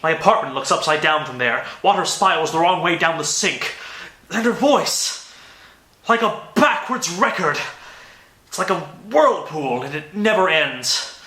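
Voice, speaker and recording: Man, roomy echo, fairly high bright voice, dramatic reading of lyrics. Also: animated, unhurried. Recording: echoey room.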